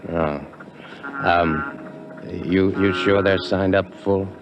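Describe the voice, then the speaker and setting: An actor playing an eager, broke young ex-soldier drifter in a 1950s radio drama, earnest and insistent. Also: male voice, low-pitched, steady tone, slow pace. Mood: hesitant, curious. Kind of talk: 1950s radio drama acting, first-person narration and dialogue. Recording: muffled vintage radio recording, music behind.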